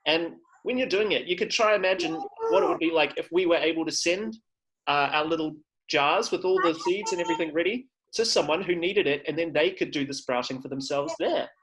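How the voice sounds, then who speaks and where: Upbeat male host with a slightly high voice. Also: brisk, background noise. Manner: upbeat, encouraging, with many fillers. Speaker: livestream host. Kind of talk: presenting to children.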